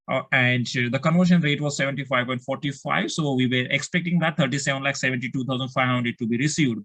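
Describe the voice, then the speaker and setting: A man's voice: medium pitch, a bit bright. A man with an Indian accent giving a step-by-step tutorial as an accounting instructor, asking questions and then answering them, often repeating himself.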